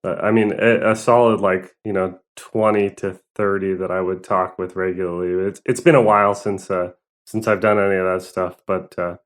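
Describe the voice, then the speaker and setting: A man's voice: sits low, fairly even intonation, moderate pace. A young man from Southern California, a guest musician telling his story in a rambling, hesitant way. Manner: rambling, hesitant, with many fillers and laughs. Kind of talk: casual interview.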